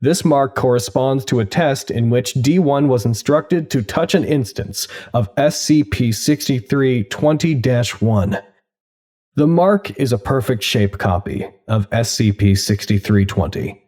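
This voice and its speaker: Detached report narrator, mellow, mid-low male voice, deadpan, formal, scripted drama. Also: a little slow. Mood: clinical. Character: detached male narrator reading clinical case logs.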